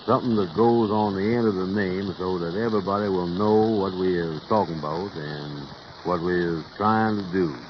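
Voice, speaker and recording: On a muffled, faintly noisy vintage recording, a man performs a pompous, scheming cab company boss. His low voice rolls out folksy, ungrammatical lines in old radio comedy style. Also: fairly even intonation, normal speed, natural voice. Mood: self-important. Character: scheming, self-important male taxi company boss. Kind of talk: 1930s radio comedy acting.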